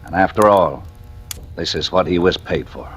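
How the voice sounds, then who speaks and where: An actor playing a hard, unapologetic police captain, gruff and blunt, in a 1940s radio drama. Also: male voice, deep voice, normal speed, highs cut off, natural voice. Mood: cold, defensive. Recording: vintage radio broadcast, music behind.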